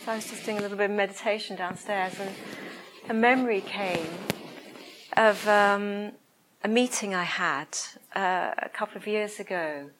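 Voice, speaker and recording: Soft-voiced woman teaching meditation. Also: mid-range voice, a little hiss or hum, rough recording. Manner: slow, hesitant, searching for words. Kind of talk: reflective dharma talk and guided meditation. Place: room with a listening group.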